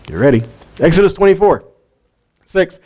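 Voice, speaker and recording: A man with a slightly high voice teaches scripture in a relaxed, conversational way. He keeps firing rhetorical questions at his listeners and drops in the odd joke. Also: moderate pace, dull audio. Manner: animated, asks rhetorical questions, jokes with listeners. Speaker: teacher of a weekly Torah portion. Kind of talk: informal Bible teaching.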